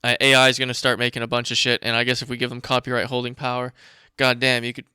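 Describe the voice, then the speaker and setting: A man hosting a casual commentary show, reading documents aloud and remarking on them in a blunt, informal, profane way, with a slight rasp. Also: medium pitch, monotone, quick pace, pushed and bright, no echo, studio quality. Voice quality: raspy. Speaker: show host.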